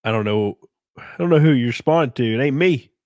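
Man, 30s, congested cold, crude joker, very animated pitch, dry close mic. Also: fairly quick, no echo. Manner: crude, joking, laughs often. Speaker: livestream host. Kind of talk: casual live-stream chat reading donations. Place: small home streaming room. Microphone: studio mic on boom arm, close.

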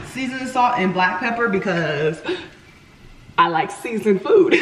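Young woman vlogger, casual cooking chat to the camera, roomy kitchen with sizzling pans. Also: mid-range voice. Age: 20s. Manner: chatty, playful, talks to viewers while cooking. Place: home kitchen, indoors. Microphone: camera on the counter. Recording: echoey kitchen with frying noise.

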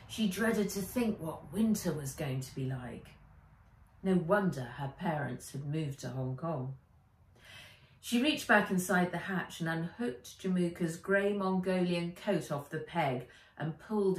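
A middle-aged woman, an author reading aloud from a children's storybook in an expressive narrating style. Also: on the low side. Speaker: author reading own book. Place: living room or sunroom, indoors. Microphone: camera or phone a few feet away. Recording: roomy, distant home recording.

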